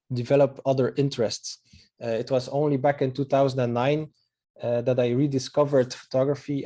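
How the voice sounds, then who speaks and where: Mellow-voiced Belgian man, hesitant. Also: medium pitch. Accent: Belgian Dutch. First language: Dutch. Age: 30s. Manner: hesitant, many filler sounds, friendly and earnest. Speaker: photographer giving a presentation. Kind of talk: online slideshow presentation.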